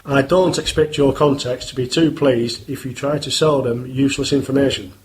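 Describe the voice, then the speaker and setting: A man playing a firm, measured police inspector in an amateur radio play. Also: mid-range voice, steady tone, slight background noise, natural voice. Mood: stern. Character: stern male police inspector.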